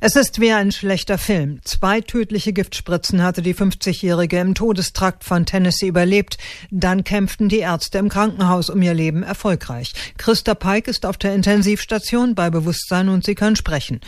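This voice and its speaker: A woman's voice: medium pitch, normal speed, no room sound, studio quality. A woman foreign correspondent reading a news report with vivid, somewhat dramatic phrasing.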